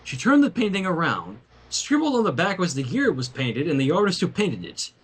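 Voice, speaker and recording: A man reading a story aloud in an expressive narrating style, with casual asides. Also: fairly high pitch, a little fast, breathy edge. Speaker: fan fiction reader.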